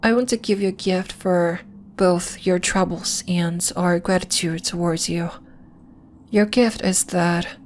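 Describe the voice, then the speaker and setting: Celestial female lunarian healer played by a woman, ASMR audio drama. Slow, measured, soothing, slightly superior; noticeable ambient noise behind the voice. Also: mid-range voice, natural voice. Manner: soothing, measured, formal, faintly condescending. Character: gentle, lofty female lunarian healer, celestial moon being. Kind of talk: ASMR roleplay, scripted, addressed to the listener.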